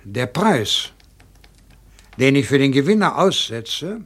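An actor playing an older, eccentric colonel in a radio crime drama, genial and boastful, savouring his words in a theatrical, deliberate manner. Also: mid-range voice, sing-song, husky, heavily acted. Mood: sly, commanding.

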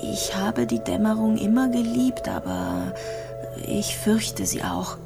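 An actress playing a gravely ill young woman in a radio drama, speaking softly and haltingly, melancholic and introspective. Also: medium pitch, huge pitch swings, full character voice. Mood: wistful, resigned. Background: music.

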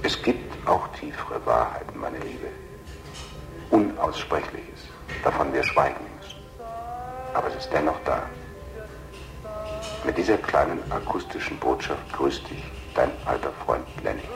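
An extremely deep male voice on an echoey recording with loud music. a cryptic, menacing message-leaver. He recites with portentous, measured solemnity in a gravelly tone. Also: lively intonation, exaggerated voice. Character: cryptic, menacing man leaving taped messages. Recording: roomy tape playback with loud music.

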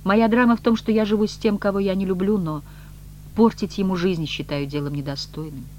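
Audiobook role: proud, distressed woman, played by a male reader, lifted pitch, emotional, indignant or pleading, faint noise. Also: steady tone, fairly quick, lightly acted. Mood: unhappy confiding. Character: proud, distressed unhappily married woman, wary then pleading.

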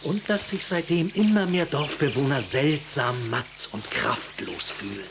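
A man's voice: fairly high pitch, measured pace, vocal fry, background noise. A man playing an adventurous, enthusiastic middle-aged father in a radio play, eager and theatrical, brushing off worries. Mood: intrigued. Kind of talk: German radio play dialogue.

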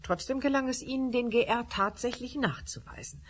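A woman's voice: on the high side, brisk, lightly acted. An actress playing a woman who hosts a televised science discussion, speaking formally and courteously. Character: woman TV science show host, formal and polite. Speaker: host. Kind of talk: radio drama acting.

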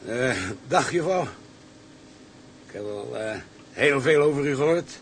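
Halting, bashful ship's engineer. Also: male voice, medium pitch, expressive, slight background noise. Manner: hesitant, halting. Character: shy, awkward fat ship's engineer, good-natured. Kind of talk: dramatised radio play acting.

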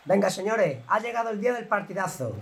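Young man playing a fast, demanding football coach. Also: sits high, animated, vocal fry, slight background noise. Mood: rousing, macho. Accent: Andalusian Spanish. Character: demanding macho male youth football coach. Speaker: amateur student actor in a school radio play. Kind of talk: amateur radio drama acting.

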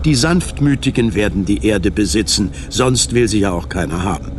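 A man's voice: lowish voice, expressive, moderate pace, background noise. A man reading scripted literary narration as the narrator of a radio drama. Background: music.

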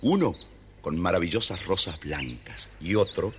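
A man's voice: low-pitched, sing-song, normal speed. A man narrating a scripted children's story as the storyteller. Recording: faint noise, old children's story record.

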